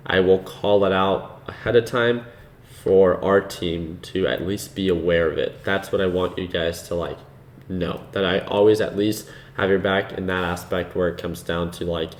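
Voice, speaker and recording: A man hosting a live trading stream, chatting casually with viewers in a relaxed, unhurried way, with a soothing voice and plenty of fillers. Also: low voice. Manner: relaxed and unhurried, laughs easily, many fillers. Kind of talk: casual live-stream commentary, reading chat.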